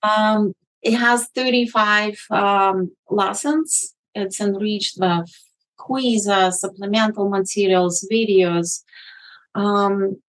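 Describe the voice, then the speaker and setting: Slow-speaking woman guest. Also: mid-range voice, steady tone, poor audio. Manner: deliberate, with many ums and uhs. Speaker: guest, magazine editor. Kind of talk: interview answers.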